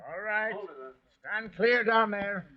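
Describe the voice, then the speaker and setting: An actor playing a brisk, take-charge policeman in an old-time radio drama. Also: high voice, steady tone. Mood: brisk, commanding. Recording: muffled vintage radio recording.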